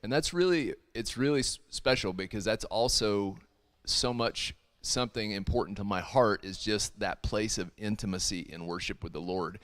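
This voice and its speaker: Low-voiced earnest man, dry sound. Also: moderate pace, few pauses, fairly bright tone, dry recording. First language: not Hebrew. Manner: rambling, earnest, many restarts. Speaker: worship leader and ministry video actor. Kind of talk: casual livestream chat.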